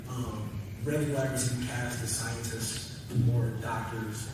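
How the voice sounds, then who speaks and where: A man with a medium-pitched voice moderates a panel in a very echoey room. He sounds distant over clear noise, phrasing his questions in a halting, conversational way. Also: steady tone. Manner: halting, repeats words as he builds questions.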